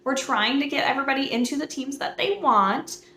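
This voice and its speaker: Bubbly woman vlogger with a slightly high voice and a hint of hoarseness from a cold; echoey room with a faint hum. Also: animated, brisk, bright, forceful tone. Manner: bubbly, chatty, many fillers. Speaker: book channel host. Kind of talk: casual chat to camera.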